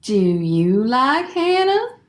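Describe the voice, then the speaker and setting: Woman as timid kind kid, high lilting voice, unhurried, naive, hesitant, radio comedy. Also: big pitch swings, reverberant, heavily acted. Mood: earnest. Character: timid, kind-hearted, questioning farm child.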